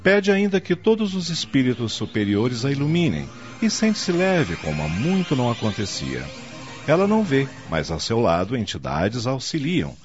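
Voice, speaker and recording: A man's voice: mid-range voice, huge pitch swings, moderate pace. A man narrating a radio soap opera in a dramatic scripted style. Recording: dull lo-fi audio with music.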